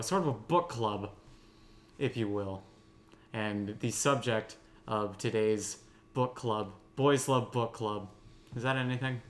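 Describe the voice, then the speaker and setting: A man hosting a casual roundtable discussion, with hesitant phrasing and wry, self-amused asides. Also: lowish voice. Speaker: host of a roundtable podcast.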